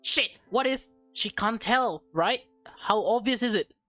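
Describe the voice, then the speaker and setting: A woman reading visual novel text aloud as a streamer, stumbling over words and breaking into excitable exclamations. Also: on the high side, measured pace, no room sound, faint music behind.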